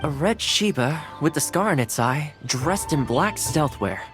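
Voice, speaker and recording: Kind upbeat young game character, gentle and friendly, pitch moves around a lot, music underneath. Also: male voice, expressive, measured pace. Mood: eager, curious. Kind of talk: video game character voice acting. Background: background music.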